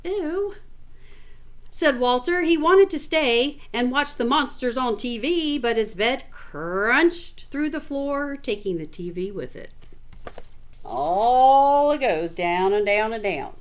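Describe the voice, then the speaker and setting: A woman reading a picture book aloud in an animated, expressive way, with chatty asides to the listener. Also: on the high side, slow pace. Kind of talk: animated storybook read-aloud with casual asides.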